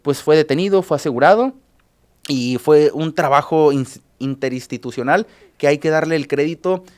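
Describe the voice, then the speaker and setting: A man speaks with a Mexican Spanish accent, his voice sitting a little high for a man. He talks as a journalist guest on a radio news show, pausing often with filler sounds and restarting his sentences. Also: smooth. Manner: halting, restarts with filler sounds, recapping cases.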